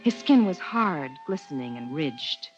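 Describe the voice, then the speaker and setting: A woman's voice: deep voice, big pitch swings, measured pace. An actress playing an earnest, persuasive married woman biologist in a 1950s radio drama. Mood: measured narration. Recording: dull 1950s radio recording, faint music.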